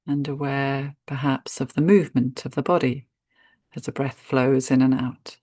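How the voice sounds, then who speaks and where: A woman guiding a meditation in a gentle, unhurried manner, speaking in short phrases with spacious pauses. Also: rumbling low, flat delivery, no room sound, studio quality. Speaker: meditation guide. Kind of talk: guided meditation narration.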